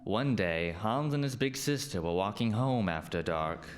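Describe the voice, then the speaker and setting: A man narrating a spooky radio play in a measured, dramatic storytelling style. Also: fairly low pitch, lively intonation, music in the background. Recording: faint background noise.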